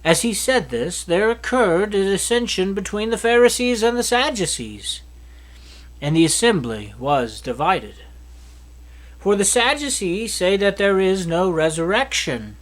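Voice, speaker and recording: Man reading scripture with lively pitch. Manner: steady, earnest reading with small slips and restarts. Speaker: scripture reader. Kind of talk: reading a Bible chapter aloud.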